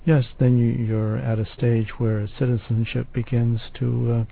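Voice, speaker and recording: A man on a discussion panel, speaking slowly and hesitantly with many fillers. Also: mid-range voice, little pitch movement, creaky. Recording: tinny phone-like sound.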